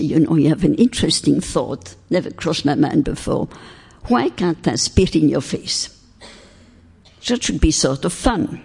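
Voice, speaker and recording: A woman's voice: sits low, creaky, a little mellow, slightly rough. Older woman lecturing in a hall. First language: French. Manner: deliberate, emphatic, stretches key words. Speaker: speaker on faith and philosophy. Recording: long hall reverb.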